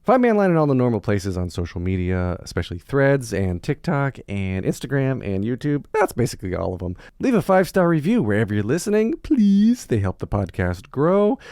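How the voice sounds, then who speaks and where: Male podcast host. Upbeat, effusive intro and interview delivery, very animated intonation, dry recording. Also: mid-range voice, no echo.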